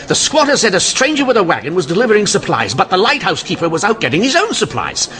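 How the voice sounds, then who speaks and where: An earnest Victorian police inspector in a radio mystery. His pitch moves in lively swings as he puzzles things out, polite and inquisitive. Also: fast talker, exaggerated voice. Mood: eager, reasoning. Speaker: radio drama actor. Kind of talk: old-time radio mystery acting.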